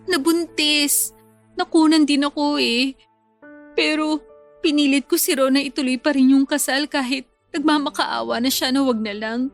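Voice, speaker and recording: High-voiced, heartbroken young bride-to-be. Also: female voice, steady tone, normal speed, faint music behind. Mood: plaintive, insistent. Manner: plaintive, confiding, tearful. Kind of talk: Filipino radio drama acting.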